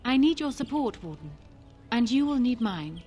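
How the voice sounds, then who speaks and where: An actress playing a proud, ambitious queen in a fantasy video game, speaking formally with calm, measured persuasion. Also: on the high side, soft background music. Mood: persuasive. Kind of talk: fantasy game dialogue acting.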